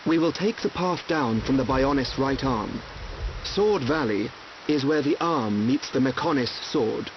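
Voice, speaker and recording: A man's voice: medium pitch, expressive, unhurried, lightly acted. A man playing a composed, learned noble guide in a video game, with formal, precise, reserved speech. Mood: calm, instructive. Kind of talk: video game cutscene acting. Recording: dull, slight hiss and noise.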